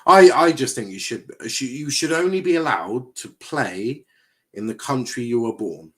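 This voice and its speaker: A man's voice: mid-range voice, animated, a little slow. A young English man streaming a match watchalong, chatting casually, rambling and swearing as he reacts to the play. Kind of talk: casual live match commentary.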